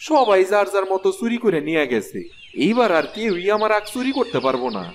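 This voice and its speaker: An actor playing a naive, self-satisfied village farmer and husband in a cartoon, with a rural Bangladeshi Bengali accent. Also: male voice, high voice, sing-song, brisk, slight background noise. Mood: boastful, defensive. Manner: naive, earnest, boastful. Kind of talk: cartoon voice acting.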